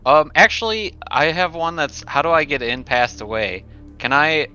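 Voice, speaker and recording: A man's voice: a little high, moderate pace, sharp tone, highs cut off, soft background music. A man chatting casually as a player in a tabletop roleplaying game, slipping into sweary in-character talk.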